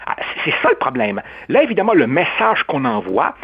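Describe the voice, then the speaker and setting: A speaker argues emphatically in a radio discussion. The voice is husky and moves around a lot in pitch. The sound is dull and lo-fi, like an old radio recording. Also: wildly swooping pitch, a little fast. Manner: emphatic, argumentative, rising emphasis.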